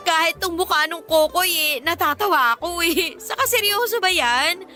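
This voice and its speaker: A woman's voice: very high-pitched, sing-song, measured pace, pushed and bright, soft background music, exaggerated voice. A young woman with a Filipino accent playing a blunt, mocking best friend in a radio drama, teasing and slangy in delivery. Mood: teasing, amused.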